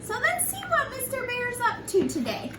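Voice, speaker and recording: A woman reading a picture book to young children like a preschool teacher, in an animated, sing-song, encouraging way with simple questions to her listeners. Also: extremely high pitch, normal speed. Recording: echoey room, distant mic, noisy background.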